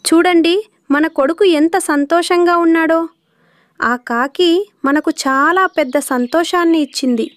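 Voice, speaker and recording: A woman playing an outspoken young mother pigeon, earnest and expressive, in a children's cartoon. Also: very high-pitched, normal speed, no echo, studio quality. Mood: delighted.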